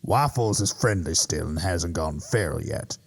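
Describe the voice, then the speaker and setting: Man acting a gravelly, low-voiced fatherly griffin mentor. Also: animated, unhurried, full character voice. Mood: grave, instructive. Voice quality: gravelly, husky. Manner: patient, instructive. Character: jaded fatherly adult male griffin mentor with a revolver. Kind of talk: audio drama acting.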